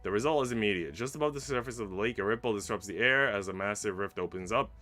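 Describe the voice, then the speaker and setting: A man reading a visual novel aloud as a streamer, in an expressive, dramatic narration that drops hushed and rises with emphasis. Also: on the low side, quick pace. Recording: faint game music behind. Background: game music.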